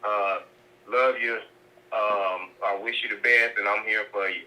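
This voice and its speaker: A man's voice: on the low side, fairly even intonation, measured pace, sharp tone. A man calling in to a talk show, an eager fan who stumbles over his words. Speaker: caller. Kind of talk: phone-in call. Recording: tinny phone line.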